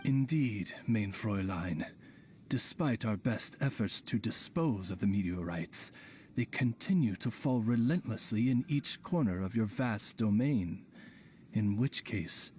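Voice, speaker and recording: A man's voice: fairly low pitch, animated, a little slow, darkish tone, slight background noise. A man voicing a dignified, devoted raven familiar with formal, deferential butler-like speech in a video game. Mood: solemn, sorrowful.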